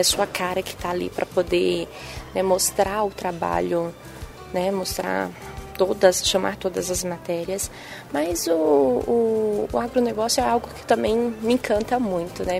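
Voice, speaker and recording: A young adult woman journalist with a soft Bahian accent, answering interview questions in a sing-song manner with frequent tag questions. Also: medium pitch, steady tone, normal speed, vocal fry, faint music behind. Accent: Bahian Brazilian Portuguese. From Bahia, Brazil. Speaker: TV journalist and presenter.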